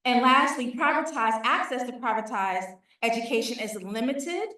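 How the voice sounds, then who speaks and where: A woman, a nonprofit founder, giving a prepared pitch speech in a deliberate, structured way, laying out her points as lists. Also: bright, forceful tone. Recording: echoey hall, distant mic.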